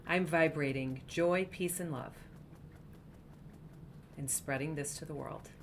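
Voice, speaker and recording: A woman speaking slow, soft affirmations in a guided meditation. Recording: faint background rumble.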